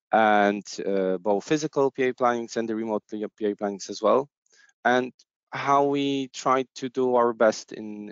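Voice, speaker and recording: A man's voice: lowish voice, gravelly, no echo. A man hosting an online webinar as an agile coach, hesitant, with many fillers and restarts, heard over a headset on a video call. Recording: dull, lo-fi video call audio.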